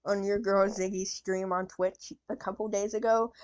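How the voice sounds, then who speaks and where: A woman's voice: mid-range voice, level tone, moderate pace, darkish tone, dry recording, highs cut off. A woman streamer chatting casually as she reacts to videos, with asides to her pet cat.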